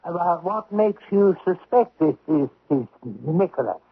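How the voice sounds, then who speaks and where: Authoritative magistrate, high raspy voice, curt questioning, 1970s radio acting, thin phone-like sound. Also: male voice, animated, heavily acted. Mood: probing. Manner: brisk, peremptory questioning. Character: impatient, authoritative examining magistrate questioning suspects. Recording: tinny vintage radio broadcast.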